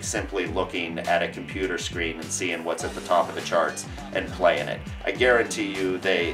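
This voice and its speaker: Male radio DJ, very low voice, emphatic interview delivery, slightly distant over guitar music. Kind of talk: documentary interview.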